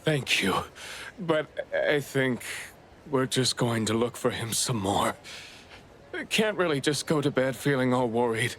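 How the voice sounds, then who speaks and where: A man's voice: mid-range voice, lively intonation, unhurried, a bit bright, slight background noise. An actor playing a worried, polite father of a missing boy in a video game cutscene, earnest and anxious. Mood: polite, pleading. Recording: background music under the voice.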